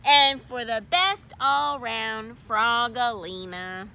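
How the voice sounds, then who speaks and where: A woman voicing a kind, patient schoolteacher in a storybook, speaking gently, with background noise. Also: a little high, slow, exaggerated voice. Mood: announcing. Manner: gentle, announcing. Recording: noisy background.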